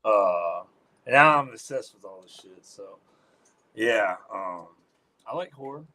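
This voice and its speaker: A man's voice: expressive, slow pace, pushed and bright. A man being interviewed as a guest musician, talking in a laid-back way, swearing a lot and trailing off into fillers. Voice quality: deep voice. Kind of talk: casual interview chat.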